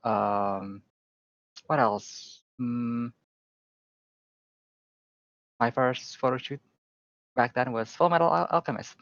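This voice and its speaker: A man in his thirties from Indonesia, a guest photographer talking casually on a podcast, relaxed and joking, with lots of fillers. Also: mid-range voice, slow, long pauses. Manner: relaxed, jokey, frequent fillers. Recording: slightly dull lo-fi audio.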